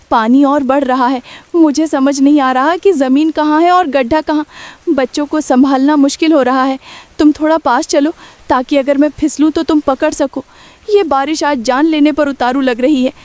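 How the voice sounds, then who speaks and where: A woman plays a poor village mother in a cartoon story. Her voice is high and closely recorded, with a caring, anxious, protective manner. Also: fairly even intonation, moderate pace, a little mellow, no echo, lightly acted. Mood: urgent, afraid.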